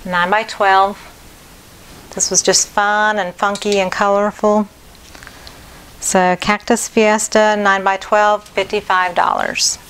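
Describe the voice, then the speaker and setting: A middle-aged woman artist showing her paintings one by one for an auction preview. She talks in a friendly, unhurried way and repeats the details. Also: medium pitch, level tone, a bit bright, a little hiss or hum, low-quality recording. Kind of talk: slow, plain auction preview talk. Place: indoor home studio.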